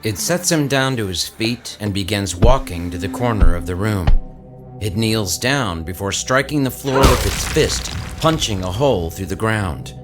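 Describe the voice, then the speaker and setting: A man's voice: fairly low pitch, expressive, normal speed, lightly acted. A man reading dramatic scripted narration for an audio story, with music behind him.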